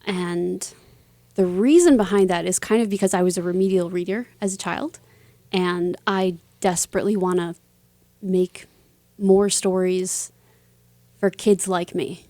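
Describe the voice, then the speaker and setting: A woman's voice: fairly low pitch, fairly even intonation, unhurried, mellow, no room sound. Woman chatting as an interview guest, dry sound. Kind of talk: casual interview chat.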